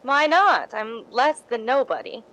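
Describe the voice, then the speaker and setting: A woman's voice: on the high side, big pitch swings, unhurried, bright, forceful tone, exaggerated voice. Woman playing a coy young stage actress. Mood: falsely modest. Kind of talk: old-time radio drama acting.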